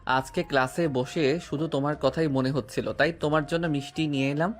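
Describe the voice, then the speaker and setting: A man's voice: on the high side, fairly even intonation, a little fast, natural voice. An actor playing a playful, persistent young man who courts a woman with teasing affection. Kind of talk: Bengali audio drama acting. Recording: music underneath.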